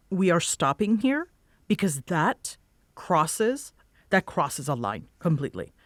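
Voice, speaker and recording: A woman acting a guarded, grudgingly warm and easily flustered young tsundere roommate in a roleplay audio drama, with halting, wry delivery. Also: fairly low pitch, no room sound, clean studio sound, exaggerated voice. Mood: angry, firm.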